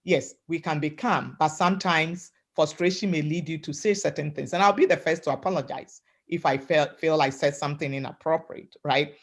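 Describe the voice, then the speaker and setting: Woman from africa on a webinar call, loud and emphatic, keeps saying "right?" and "you know". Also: low voice, normal speed, hardly any pauses. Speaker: webinar panelist. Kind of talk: motivational talk.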